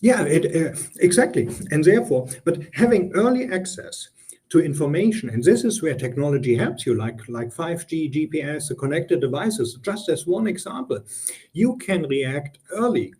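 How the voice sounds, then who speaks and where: A man's voice: on the high side, expressive, moderate pace, warm tone. A middle-aged man from Germany with a German accent, explaining business topics earnestly in an interview, with frequent ums and restarts. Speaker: guest consultant.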